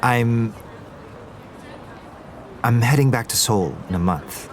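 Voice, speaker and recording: An actor playing an earnest, smitten, gently wry young Korean businessman in a naturalistic audio drama. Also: medium pitch, lively intonation, slow pace, a little hiss or hum. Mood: resigned. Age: young adult. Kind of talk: naturalistic fiction podcast acting.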